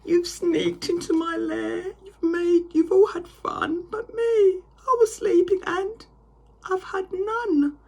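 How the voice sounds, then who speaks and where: A woman voicing a big brown bear from a children's storybook, loudly wailing and tearfully sorry for himself. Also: very high voice, steady tone, slow, creaky, voice pushed up, exaggerated voice. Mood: wailing, aggrieved. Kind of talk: storybook read-aloud for children.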